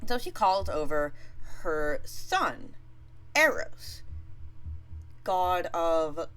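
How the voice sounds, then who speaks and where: Woman, low-pitched, very lively intonation, chatty improvised storytelling, playful asides, noisy livestream recording. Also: very slow, smooth, soft background music. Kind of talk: casual, improvised retelling of a myth.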